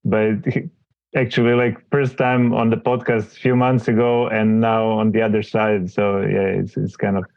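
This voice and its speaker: Hesitant gravelly man, dry dull sound. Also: fairly low pitch, little pitch movement, moderate pace, darkish tone, dry recording. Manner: hesitant, repeats words while searching for phrasing. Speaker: guest interviewer, mentee. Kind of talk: casual interview. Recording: dry, slightly dull recording.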